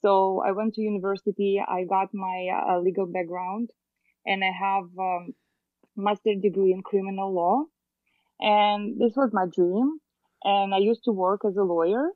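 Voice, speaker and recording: A woman's voice: mid-range voice, fairly even intonation, normal speed, no room sound. Woman, Russian accent, thirties, chatty and hesitant, tinny dry call audio. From Moldova. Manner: chatty, hesitant, many fillers. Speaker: guest realtor. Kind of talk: casual interview. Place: home room, indoors. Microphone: video call.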